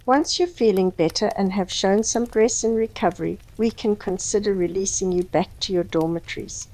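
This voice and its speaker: A woman voicing a professional, gentle school nurse in a scripted audio roleplay story. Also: mid-range voice, normal speed, close to an everyday voice, poor audio. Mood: composed, kindly. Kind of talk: scripted story narration and dialogue.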